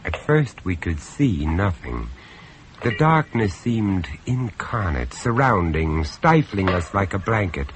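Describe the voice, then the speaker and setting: An actor playing a grave, observant gentleman who narrates a horror tale in a radio play, in a dramatic storytelling style. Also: deep voice, very animated, slow, heavily acted. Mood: uneasy, brooding. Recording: noisy old radio drama recording.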